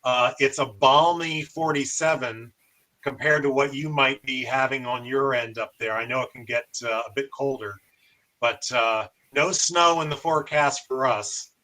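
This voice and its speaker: American male, mid-range bright voice, casual hobby-radio host and operator. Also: medium pitch, normal speed, poor audio. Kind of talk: casual demonstration and radio chat.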